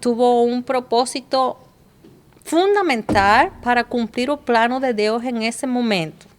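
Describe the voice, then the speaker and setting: A woman's voice: a little high, a little slow, a little hiss or hum. A woman from Cuba with a Cuban Spanish accent, a guest on a casual podcast, speaking Portuguese thoughtfully and slipping into Spanish words. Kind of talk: casual chat.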